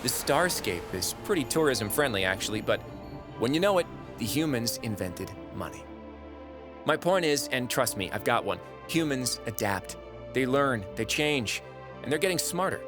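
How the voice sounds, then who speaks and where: A man's voice: medium pitch, animated, moderate pace, over music, close to an everyday voice. An actor playing a wry, irreverent former god who narrates his own record in a chatty, sardonic audio drama style. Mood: wry, defiant.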